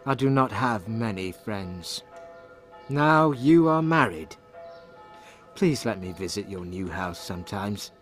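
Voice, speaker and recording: A man voicing a lonely, polite gentleman who speaks earnestly to his friends, in an audiobook. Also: lowish voice, animated, takes it slowly, soft background music. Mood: wistful.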